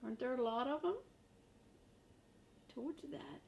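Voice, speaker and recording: An older woman reading a children's book aloud, chatting to young listeners in simple, gentle phrases. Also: a little high, measured pace, creaky. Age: older, a grandmother.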